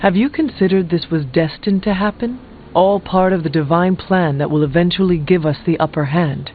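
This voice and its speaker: A man playing a calm, stoic warrior hero in a video game, speaking in a measured, earnest way. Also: high-pitched, phone-quality sound, a little hiss or hum, lightly acted. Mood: calm, consoling. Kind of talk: video game character dialogue.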